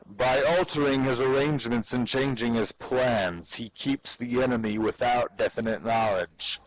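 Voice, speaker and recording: A man giving a solemn, deliberate dramatic reading of an old treatise in a declamatory manner. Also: medium pitch, no room sound, poor audio. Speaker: reader performing a dramatic reading.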